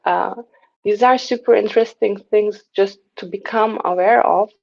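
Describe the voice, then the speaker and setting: Woman, gravelly, flat, thoughtful, hesitant, lo-fi video call. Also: mid-range voice, normal speed. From Turkey. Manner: thoughtful, hesitant, many restarts and fillers. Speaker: working group steward. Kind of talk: casual video call discussion.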